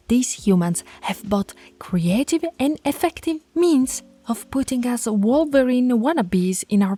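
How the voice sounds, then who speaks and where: Woman doing an alpha werewolf lady, asmr roleplay, mellow slow voice, bossy and stern, scolds like a pack leader. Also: medium pitch. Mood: stern lecturing. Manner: commanding, scolding, taunting, deliberate.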